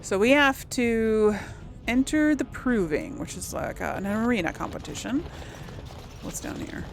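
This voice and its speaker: A woman's voice: fairly high pitch, expressive, measured pace, creaky, noisy background. A woman giving casual, rambling commentary as she plays a video game, thinking aloud to her viewers. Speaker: gamer hosting a role-play let's play.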